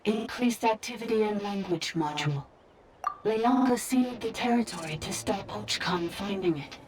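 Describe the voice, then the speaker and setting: A woman's voice: medium pitch, animated, moderate pace, far from the mic, crowd noise, close to an everyday voice. A woman playing a terse, matter-of-fact robot who recites data in clipped, unemotional phrases in a video game. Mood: detached.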